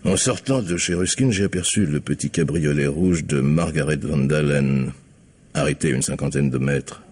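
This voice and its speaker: An actor playing a rattled, defensive crooked lawyer being questioned in a radio mystery, agitated and hesitant. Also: male voice, very deep voice, moderate pace, darkish tone, breathy edge. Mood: uneasy, defensive. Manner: agitated, hesitant, self-justifying. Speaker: actor in a radio crime drama. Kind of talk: French radio mystery acting.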